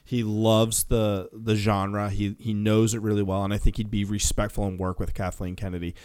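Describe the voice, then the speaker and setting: A man's voice: fairly low pitch, flat delivery, normal speed, darkish tone, clean tone, no echo, studio quality. A man co-hosting a podcast, casually discussing film news. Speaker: podcast co-host.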